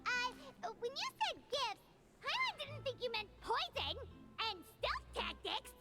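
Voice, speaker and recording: Super squeaky bouncy little fairy girl, swoops all over, game music under it. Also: unhurried, heavily acted. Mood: nervous. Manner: bubbly, excitable, whiny. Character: tiny chirpy floating fairy companion girl. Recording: game audio with music behind.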